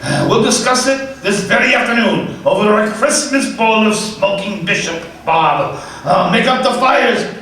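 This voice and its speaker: An actor playing an old miser who has turned jolly and generous, in a live radio play. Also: male voice, high-pitched, measured pace, pushed and bright. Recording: echoey room, far from the mic.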